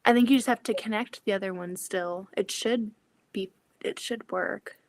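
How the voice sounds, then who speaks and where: A soft-voiced woman hosts a casual livestream, chatty and a bit scattered as she pokes fun at herself. Her voice sits at an ordinary middle pitch. The sound is dry and close. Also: moderate pace, dry recording. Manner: chatty, scattered, self-deprecating. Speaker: livestream host.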